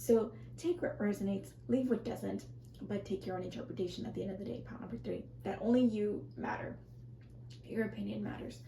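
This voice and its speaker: A woman reads tarot cards in a loose, rambling way, her voice scratchy and full of gravel. Her tone is dark and mellow. The room sounds echoey, with a faint hiss behind her. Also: mid-range voice, brisk. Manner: rambling, self-correcting, soft and reassuring. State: scratchy, strained voice, clearing her throat. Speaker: tarot reader. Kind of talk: casual pick-a-card tarot reading.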